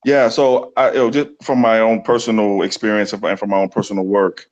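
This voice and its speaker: A man's voice: on the low side, little pitch movement, a little fast, dull audio. A man from New City, a guest explaining his organizing work earnestly, thinking aloud. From New York City. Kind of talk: casual explanatory interview talk.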